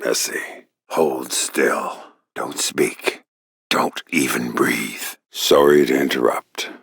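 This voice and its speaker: A gruff, menacing covert operative from a video game, middle-aged and wry. His voice sits extremely low and rumbles with gravel, often sinking into a breathy growl. He delivers terse, deadpan, sardonic lines in a dry, close recording. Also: slow, dry recording, heavily acted.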